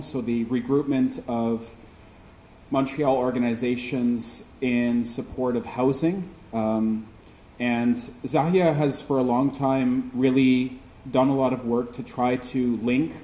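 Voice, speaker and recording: A man moderating a panel, introducing the next speaker in a halting way with many fillers, recorded in a university classroom. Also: mid-range voice, dark tone. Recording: echoey classroom, faint noise.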